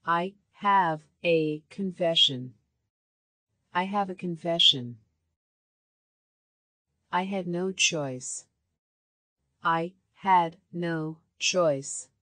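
A woman reads short practice phrases for a language course, her voice sitting a little low. She speaks very slowly, enunciating every word with care. The recording is dry and close, with no room sound. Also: sharp tone, no echo. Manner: clear, careful enunciation, each phrase repeated.

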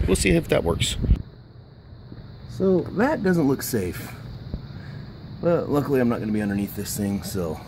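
Deep-voiced man narrating a driveway repair. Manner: relaxed, thinking aloud with fillers. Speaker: DIY vlogger. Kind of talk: casual how-to narration. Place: driveway outdoors beside a house. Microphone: handheld camera mic. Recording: noisy outdoor camera audio.

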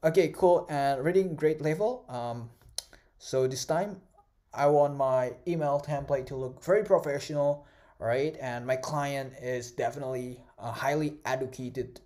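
A man whose first language is Indonesian, teaching a screen-recorded course in a relaxed way with many fillers and "right?" tags. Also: medium pitch, unhurried. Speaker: course instructor. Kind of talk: casual screen-recorded tutorial. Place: at a computer, voice-over of screen recording.

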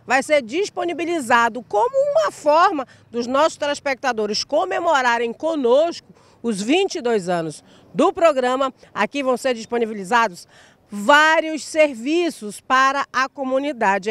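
A woman's voice: sits high, a bit bright, dry recording. A Brazilian woman in her thirties giving an upbeat live TV field report on a handheld mic in an outdoor square, stretching out key words for emphasis. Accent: Brazilian Portuguese. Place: outdoor public square in sunshine.

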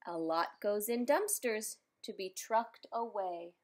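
A woman reading a children's picture book aloud, adding playful, expressive asides for young listeners. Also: mid-range voice, slow pace. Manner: playful, expressive, simple child-directed delivery with exclamations. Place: indoors in a room at home.

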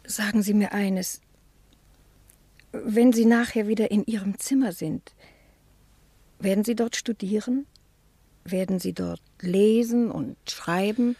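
Husky, shy, sheltered spinster. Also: female voice, mid-range voice, steady tone, slow pace, pauses often, mellow. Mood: shy, pleased. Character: shy, sheltered, unworldly ageing spinster niece, anxious and naive. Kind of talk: German literary radio drama acting.

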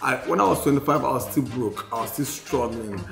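A Nigerian-accented man in his forties shares candid personal memories at a mid-range pitch. He speaks reflectively and repeats phrases to stress them. The room is audible around his voice, with faint music underneath. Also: fairly quick, gravelly. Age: 40s. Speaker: podcast co-host. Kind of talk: candid personal storytelling in a podcast conversation. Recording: roomy sound with faint music.

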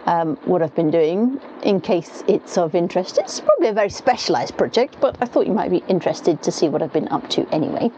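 A woman's voice: fairly low pitch, dark tone, a little hiss or hum. A woman in her forties chatting to camera inside a small caravan, rambling and correcting herself as she goes, with rain clattering on the roof. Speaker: caravanning vlogger. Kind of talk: casual talk to camera. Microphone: camera across the table. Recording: dull lo-fi sound with faint rain.

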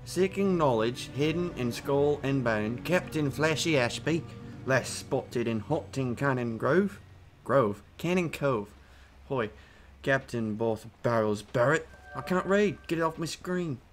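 A man with a put-on British accent, giving casual, excitable commentary over his own gameplay and swearing as he reacts. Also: mid-range voice, a little slow, soft background music. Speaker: gaming channel host.

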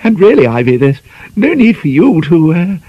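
A man's voice: fairly high pitch, sing-song, moderate pace, breathy edge, no room sound, highs cut off, voice pushed up. A man voicing a kindly, fussing lady hostess in an audiobook, coaxing and fluttery.

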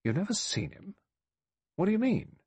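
A man's voice: high voice, very animated, normal speed, a little mellow, husky, pitched above the performer's own voice, full character voice. A man voicing a blustering, indignant older general in an audiobook, spluttering with emphasis. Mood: outraged. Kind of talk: audiobook character voice.

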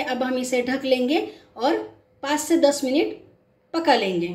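Female home cook, high voice, simple step-by-step recipe narration, echoey kitchen. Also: a little mellow. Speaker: home cook, cooking show host. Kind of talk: casual recipe demonstration. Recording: echoey room.

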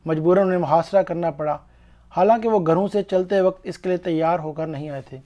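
Male narrator for religious history, slightly high-pitched with a soft, mellow tone, formal and earnest delivery. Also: brisk. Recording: dull, muffled lo-fi voice-over.

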